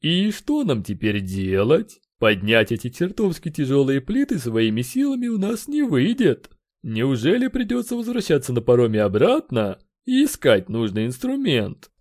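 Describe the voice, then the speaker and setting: A man's voice: medium pitch, wildly swooping pitch, moderate pace, darkish tone, voice pushed up. A man voicing a brash, skeptical male character in an audiobook, with a cheeky, grumbling drawl. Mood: exasperated. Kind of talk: acted audiobook dialogue.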